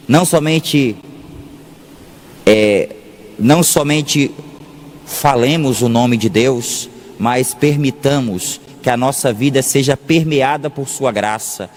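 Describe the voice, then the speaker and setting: Slow-paced Brazilian priest in an echoing hall. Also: male voice, medium pitch. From Salvador, Bahia, Brazil. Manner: deliberate, pausing often, repeats phrases for emphasis. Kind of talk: sermon. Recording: long echoing reverb of a large hall.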